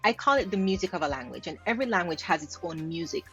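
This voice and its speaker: A woman's voice: lowish voice, very animated, quick pace, fairly bright tone, soft background music. A Jamaican woman linguist explaining pronunciation. She repeats words aloud to show how they sound and laughs lightly. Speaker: linguist host. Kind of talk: explaining pronunciation while reacting to clips.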